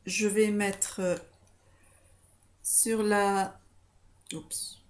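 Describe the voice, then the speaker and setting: Woman in her 40s, stuffy nose, slow and unsure, doing a makeup tutorial on a webcam. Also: mid-range voice, little pitch movement. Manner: soft, hesitant, talks while applying makeup. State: slightly stuffy nose from a cold or allergy. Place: small room indoors. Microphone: webcam close up.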